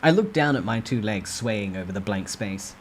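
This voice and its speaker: A man narrating a first-person novel in a dramatic, expressive storytime ASMR style. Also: lowish voice, moderate pace, slight background noise.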